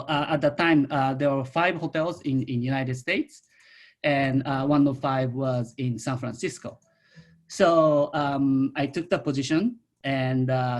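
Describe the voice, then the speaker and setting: A middle-aged man from Japan with a Japanese accent, speaking non-native English haltingly in an informal talk about himself on a video call. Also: medium pitch. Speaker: winemaker, guest speaker.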